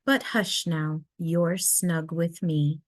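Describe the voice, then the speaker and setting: A woman voicing a gentle, reassuring mother polar bear from a storybook, soothing and unhurried. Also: fairly low pitch, fairly even intonation, dry recording. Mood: hushed, soothing.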